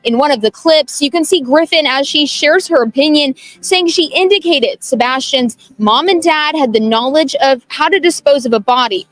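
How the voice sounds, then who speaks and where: A woman's voice: sits high, normal speed, few pauses, fairly bright tone. A woman television reporter reading a scripted news narration.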